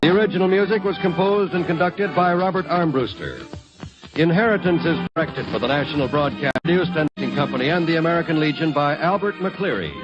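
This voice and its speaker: A man's voice: fairly high pitch, brisk. A man reading a script as a 1950s radio announcer in a formal, declarative style. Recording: muffled 1950s radio recording. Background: music.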